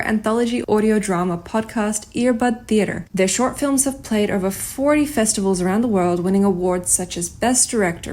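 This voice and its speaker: A woman's voice: mid-range voice, a little mellow. A woman host reading a scripted introduction to an audio drama broadcast. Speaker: host and producer.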